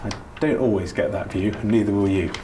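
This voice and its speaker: A middle-aged man with a slightly low voice talks through a practical demonstration as an instructor. His delivery is informal and explanatory. There is light ambient noise behind him. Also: a little fast. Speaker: doctor giving a training demonstration.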